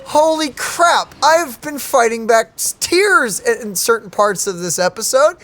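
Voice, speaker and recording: A video game streamer giving casual, informal and expressive commentary as co-host. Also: medium pitch, unhurried. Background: game music.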